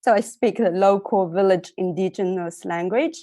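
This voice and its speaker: A woman's voice: medium pitch, moderate pace, warm tone. A woman from southern China, a Mandarin speaker, introducing herself on a panel in a playful, chatty way.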